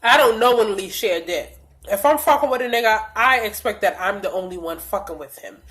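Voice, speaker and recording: A young woman tells a storytime vlog in a blunt, profane, animated way. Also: medium pitch, brisk. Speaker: vlogger.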